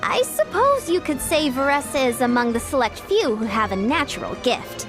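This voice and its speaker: A woman's voice: high-pitched, very animated, moderate pace, over music. A woman voicing an upbeat, encouraging young fitness coach in a video game, confident and instructive.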